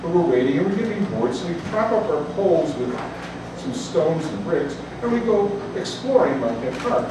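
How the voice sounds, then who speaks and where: A man's voice: on the high side, lively intonation, normal speed, noisy background. A man in his sixties from Chicago's south side, telling a story live with folksy, humorous asides. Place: cafe. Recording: echoey cafe, distant mic, chatter. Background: room ambience and chatter.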